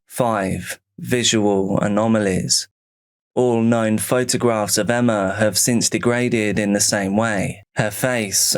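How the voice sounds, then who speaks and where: A man narrates a scripted horror mystery as if reading out an official file. His voice sits on the low side and he moves very slowly through short, clipped phrases. The delivery is measured and report-like.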